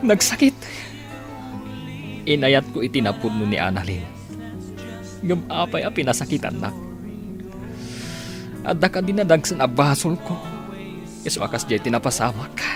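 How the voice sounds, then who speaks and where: A man's voice: mid-range voice, big pitch swings, moderate pace, long pauses, music in the background, lightly acted. An actor playing a heartbroken young man drowning his sorrows, anguished and emotional in a radio drama. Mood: anguished, bitter. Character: heartbroken young man drinking away a betrayed love.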